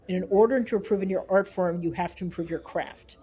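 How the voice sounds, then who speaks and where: A woman researcher talks in an interview with a mellow, soft-edged voice. She explains her views earnestly and leans on key points with emphasis, in a thoughtful, conversational way. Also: medium pitch, fast. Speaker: researcher and author being interviewed.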